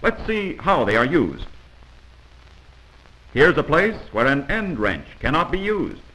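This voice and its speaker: A man's voice: fairly high pitch, big pitch swings, slow pace, sharp tone, rough recording. A man narrating a 1940s instructional film from a script, in an instructive, emphatic manner on a vintage film soundtrack.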